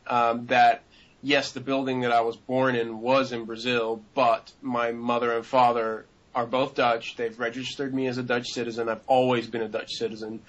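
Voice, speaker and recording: A man's voice: medium pitch, a bit bright. Rambling young man, dull lo-fi audio. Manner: rambling, many fillers and restarts. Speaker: interviewee. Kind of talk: casual interview answers.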